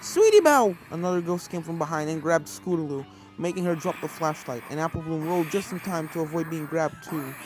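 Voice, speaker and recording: A woman's voice: low voice, fairly even intonation, a little fast, hardly any pauses. A woman reading a story aloud as scripted narration, with music playing behind her. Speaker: fan fiction reader.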